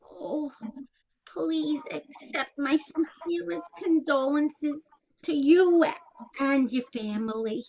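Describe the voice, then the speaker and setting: A woman's voice: high-pitched, slow, a little mellow, slight background noise, rough recording. A woman playing a blunt, unpolished older single aunt in a comic one-act play, wry and plain-spoken, with colloquial grammar. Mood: gentle. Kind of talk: one-act stage comedy acting.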